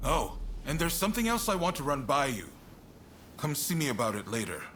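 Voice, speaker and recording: An actor playing a shrewd, spirited samurai statesman in a video game cutscene, speaking informally and earnestly with an expressive delivery. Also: on the high side, fairly quick, a little hiss or hum. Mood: friendly, apologetic.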